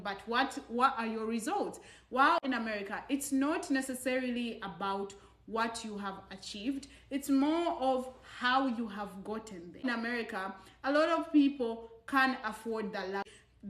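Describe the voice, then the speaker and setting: A young woman speaks to camera with a slightly high voice, earnest and emphatic, throwing out rhetorical questions to push her points. The room is echoey around her. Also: a bit bright. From Uganda. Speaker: vlogger. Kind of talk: casual talk to camera.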